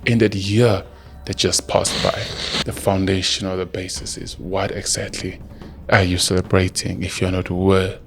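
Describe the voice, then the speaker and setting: A man's voice: low voice, level tone, a little slow, vocal fry, background noise. A man in his early thirties hosting a solo podcast, lecturing emphatically and speaking straight to the listener. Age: early 30s. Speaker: podcast host. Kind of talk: solo motivational monologue.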